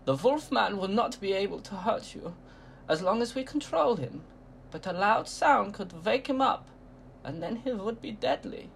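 A circus handler lady with a foreign accent, as a male narrator puts her on, her voice lifted far above his own and kept soft and hushed. She warns the crowd quietly and carefully. Faint room ambience sits behind her. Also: high voice, lively intonation, normal speed, vocal fry, a little hiss or hum, pitched above the performer's own voice. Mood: hushed warning. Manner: hushed, warning.

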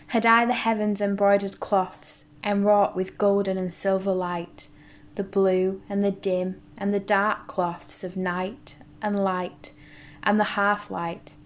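A woman reading a classic poem in a soft, even way. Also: mid-range voice.

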